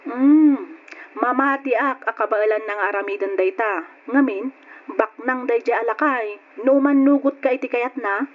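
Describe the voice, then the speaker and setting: An actress playing a pert, scheming younger sister with an Ilocano accent, coaxing and cheeky in old radio drama style. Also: female voice, a little high, moderate pace, natural voice. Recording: dull lo-fi radio drama recording.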